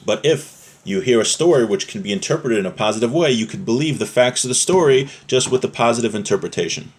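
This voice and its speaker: A man's voice: mid-range voice, lively intonation, fast. A man giving an informal religious lesson, reading from a text and explaining it plainly as a teacher. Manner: explanatory, restating points in plain words.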